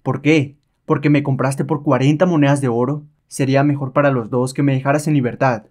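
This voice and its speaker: A man's voice: mid-range voice, fairly even intonation, fairly quick, a little mellow, close to an everyday voice. A man as a cocky, mocking rebel adventurer. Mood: mock-polite. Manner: mocking, self-assured. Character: sly, cocky, rebellious enslaved male pirate adventurer. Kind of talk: dramatized comic-book reading.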